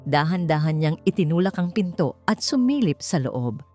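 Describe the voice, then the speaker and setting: A woman's voice: low-pitched, sing-song, normal speed, mellow. A woman reading a romance story as dramatic scripted narration, with music playing behind her. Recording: music bed under the voice.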